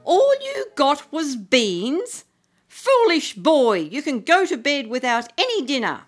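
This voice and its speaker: A woman's voice: high voice, big pitch swings, a little slow, faint music behind, voice pushed up, full character voice. A woman playing a stern, scolding mother. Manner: sharp, scolding. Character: stern, poor mother scolding her son.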